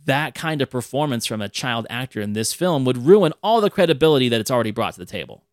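A man's voice: mid-range voice, quick pace, dry recording, clean studio sound. A man hosting a podcast, talking casually about an old film and sometimes acting out lines in a raised voice. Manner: animated, acts out quotes in a raised voice. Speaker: podcast host.

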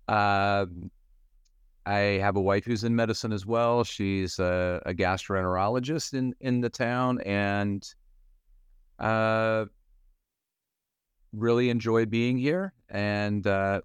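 A man in his fifties from Western Pennsylvania, a cardiologist explaining things in a measured way with many fillers and restarts. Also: fairly low pitch, steady tone, smooth, no echo. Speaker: cardiologist guest expert. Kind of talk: podcast medical discussion.

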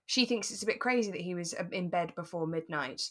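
A woman co-hosting a relaxed podcast, recapping a drama in casual chat and retelling it in an animated way, quoting the characters. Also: lowish voice, fast. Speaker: podcast co-host.

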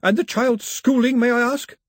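Male narrator putting on a high, snapping, domineering old grandmother, haughty and sharp; husky edge. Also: fairly even intonation, normal speed, dry recording, studio quality. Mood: imperious. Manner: clipped, snapping, commanding.

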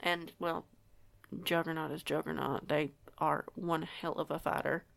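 Woman as chatty teenage werewolf girl, creaky low voice. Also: fairly even intonation, a little slow, fairly bright tone, dry recording, full character voice. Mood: candid, teasing. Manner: chatty, rambling, hesitant, self-correcting. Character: bookish, curious, stubborn teenage werewolf girl from the South. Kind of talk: audio roleplay acting, casual conversation.

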